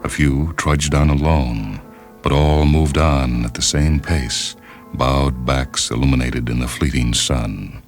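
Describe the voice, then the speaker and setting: A man reading a literary short story as a radio host, in a measured, deliberate and formal manner, on a 1970s radio recording. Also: rumbling low, steady tone. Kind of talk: scripted literary narration. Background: music bed behind the reading.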